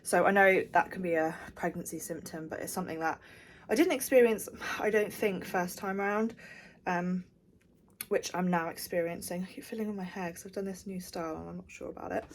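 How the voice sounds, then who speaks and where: A woman's voice: lowish voice, fairly quick, a little hiss or hum. A pregnant young woman, rambling casually with lots of fillers as she talks to the camera at home. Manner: chatty, rambling with many fillers. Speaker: vlogger. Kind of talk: casual diary vlog.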